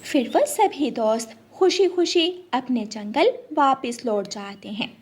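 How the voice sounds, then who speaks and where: Female children's story narrator: very high, animated, expressive scripted read. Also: slow, husky.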